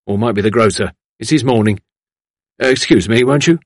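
A man's voice: mid-range voice, fairly quick, no room sound, clean studio sound, close to an everyday voice. A man voicing an obliging, plain-spoken male servant with a deferential, colloquial manner in an audiobook. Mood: matter-of-fact. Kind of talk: audiobook character voice.

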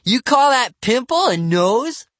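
A man voicing a cocky, goofy boy in a children's audiobook, loud, carefree and mocking. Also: very high voice, very animated, slow, no room sound, pitched above the performer's own voice, full character voice.

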